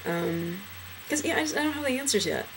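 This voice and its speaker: A young transgender man in a casual personal vlog, talking hesitantly with many fillers and restarts, his trained low voice deepened further by a cold. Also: animated, brisk, fairly bright tone, slight background noise, low-quality recording. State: voice lowered by illness.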